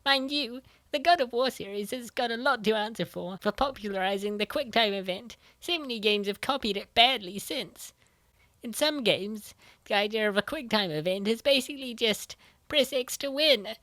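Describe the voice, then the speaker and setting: A woman's voice: on the high side, moderate pace, a bit bright, no room sound, close to an everyday voice. A woman voicing an earnest, nerdy young female gamer who explains her opinions in a comic dub. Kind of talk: comic dub dialogue, gaming banter.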